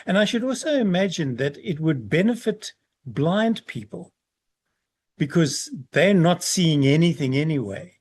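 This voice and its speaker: Male podcast host, husky voice, chatty and affable. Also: fairly high pitch, animated, measured pace, darkish tone. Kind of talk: podcast interview.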